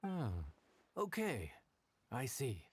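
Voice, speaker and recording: An actor playing a friendly, humble young inn cook in an anime video game, easily flustered, chatty and folksy with some stammering. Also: male voice, medium pitch, wildly swooping pitch, drawn-out words, breathy edge, no room sound, exaggerated voice. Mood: helpful.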